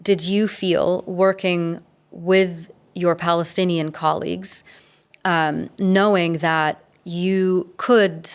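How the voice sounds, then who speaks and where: A woman's voice: lowish voice, level tone, takes it slowly, smooth. A woman hosting a podcast, asking thoughtful, hesitant questions in a conversational interview. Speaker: podcast host.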